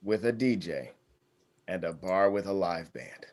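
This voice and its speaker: A man's voice: sits low, expressive, measured pace, darkish tone. A man playing a mild, sensible groom with a hesitant, understated manner in a comedy table read. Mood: wry. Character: mild, sensible groom, easily talked round.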